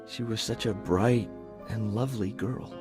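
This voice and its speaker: An actor playing a meek, sensitive sheriff's assistant in a video game, hesitant and apologetic. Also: male voice, on the low side, unhurried, natural voice. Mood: upset, earnest. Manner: hesitant, apologetic, eager to help. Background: game music.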